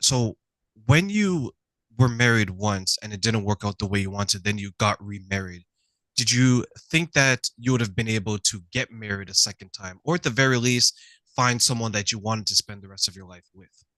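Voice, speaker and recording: Forceful low-voiced male talk show host. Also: normal speed, dry recording, low-quality recording. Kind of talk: conversational interview.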